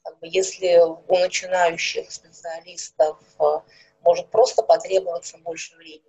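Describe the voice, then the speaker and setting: A middle-aged woman, a speech therapist, calmly explaining things with everyday examples in an interview. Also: lowish voice, moderate pace, husky, highs cut off. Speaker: speech therapist and psychologist guest.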